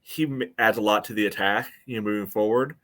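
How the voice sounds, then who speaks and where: An American man with a fairly low voice works through sports analysis as a podcast co-host. He speaks casually, in a measured, conversational manner. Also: fairly bright tone. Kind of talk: casual soccer match analysis.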